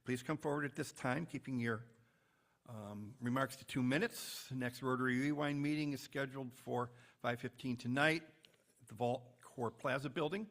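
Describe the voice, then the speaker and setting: A man's voice: mid-range voice, fairly even intonation, moderate pace, fairly bright tone. A man with an American accent reading announcements as host of a club meeting, with informal asides.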